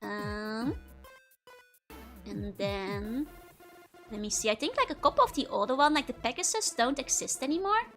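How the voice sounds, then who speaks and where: A woman's voice: on the high side, lively intonation, moderate pace, pauses often, a bit bright, soft background music. A woman with a Dutch accent, streaming and chatting casually with viewers, giggling often and rambling with lots of fillers. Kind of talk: casual chat to live viewers.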